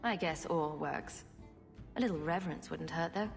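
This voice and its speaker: A woman's voice: deep voice, normal speed, creaky, music in the background. An actress playing a self-assured female adventurer in a video game, with a dry, sardonic delivery. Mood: amused, skeptical. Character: wry, self-assured female adventurer hero with quick sarcastic wit.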